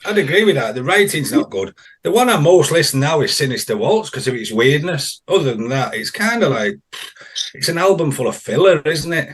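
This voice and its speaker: A man's voice: a little high, sing-song, a little fast, husky. A man guest on a podcast panel, giving easygoing, conversational opinions. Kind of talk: casual podcast chat.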